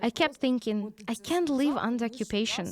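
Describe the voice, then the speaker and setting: A woman speaks a first-person voice-over translation in a lively, conversational way. Her voice sits a little high, and her pitch rises and falls a lot. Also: fast talker. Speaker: voice-over interpreter.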